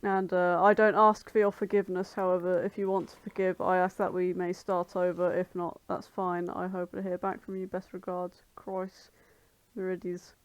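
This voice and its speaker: A woman's voice: lowish voice, little pitch movement, moderate pace, smooth, dry recording, muffled recording. A British woman co-hosting a podcast, chatting casually and informally about fan fiction, with some swearing. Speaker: podcast co-host.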